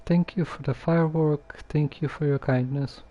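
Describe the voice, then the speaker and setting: A man streaming a game casually, hesitant and halting as he talks and reads text aloud. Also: on the high side, fairly even intonation, mellow. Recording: muffled lo-fi microphone.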